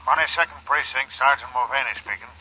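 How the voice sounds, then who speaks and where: A man playing a brisk, informal, businesslike police desk sergeant in a 1940s American radio drama. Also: medium pitch, sharp tone, breathy edge. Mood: brisk, accommodating. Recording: muffled telephone sound, old radio broadcast.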